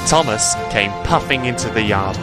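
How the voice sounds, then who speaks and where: A man's voice: fairly low pitch, animated, moderate pace, music in the background. A man reading a scripted children's story as its narrator, close on the microphone.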